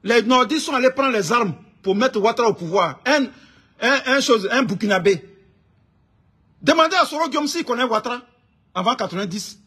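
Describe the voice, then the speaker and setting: A man with an Ivorian accent speaks in a high-pitched, raspy-edged voice. He rants informally about his views in an indignant, emphatic way, often hammering a phrase by repeating it. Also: expressive, moderate pace, sharp tone. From Ivory Coast. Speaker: online political commentator. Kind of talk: informal political rant to camera.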